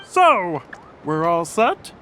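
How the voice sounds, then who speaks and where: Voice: mid-range voice, sing-song, very slow, sharp tone, a little hiss or hum, exaggerated voice. An actor voicing an eager, bossy young referee in an anime game, explaining like an announcer. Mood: eager announcing. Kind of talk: anime game cutscene acting.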